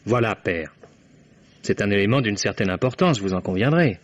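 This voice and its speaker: An actor playing a courteous, persistent lawyer who questions deliberately, in the style of 1950s French radio drama. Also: male voice, lowish voice, expressive, faint music behind. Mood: formal, grave. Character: courteous, persistent male New York lawyer investigating a case. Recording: dull, band-limited vintage radio recording.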